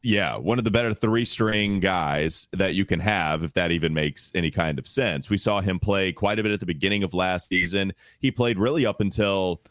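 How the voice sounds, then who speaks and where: A man with a deep voice co-hosts a casual sports podcast. He sounds under the weather, holding a strained voice at low volume. The recording is close and very dry. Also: moderate pace, few pauses, a bit bright, no room sound.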